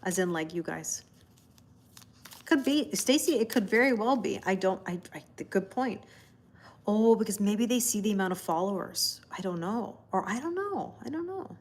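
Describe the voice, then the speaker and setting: A woman's voice: medium pitch, expressive, normal speed. A woman from Canada hosting a tarot livestream, chatting casually and rambling as she answers viewers by name, often laughing. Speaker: tarot reader and livestream host.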